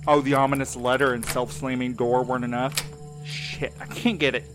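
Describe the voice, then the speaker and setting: An actor playing a wry, irreverent courier in an audio drama, talking in a casual, slangy, sarcastic way. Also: male voice, medium pitch, little pitch movement, a little fast, music in the background, natural voice. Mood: alarmed, urgent. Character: wry, irreverent male courier, reluctant and uneasy. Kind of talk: naturalistic full-cast audio drama.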